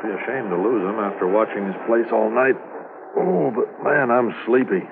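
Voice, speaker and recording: An actor playing an alert, plain-spoken junior police officer in 1950s radio drama style. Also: male voice, lowish voice, moderate pace, vocal fry. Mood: watchful, weary. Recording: noisy, tinny 1950s radio recording.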